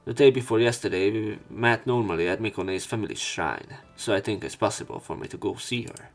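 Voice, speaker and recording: A man's voice: fairly low pitch, fairly quick. A man reading a visual novel's narration aloud as a let's player, stumbling now and then and repeating words. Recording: music playing behind the voice. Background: game music.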